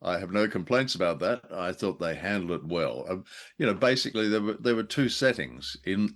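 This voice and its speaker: A man podcast co-host in casual review chat, with droll, deliberate phrasing. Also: low voice, fairly bright tone.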